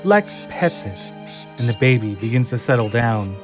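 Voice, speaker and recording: A man's voice: medium pitch, lively intonation, normal speed. A man delivering dramatic scripted narration as the narrator of an audio drama. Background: music and sound effects.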